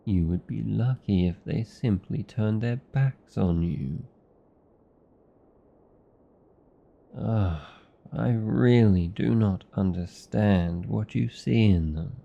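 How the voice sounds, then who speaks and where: Casting: male actor as weary, aristocratically haughty vampire lover, British accent, gravelly voice, intimate ASMR roleplay delivery, slightly dull recording. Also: deep voice, heavily acted. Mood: disdainful warning. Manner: slow, deliberate, theatrical, condescendingly affectionate.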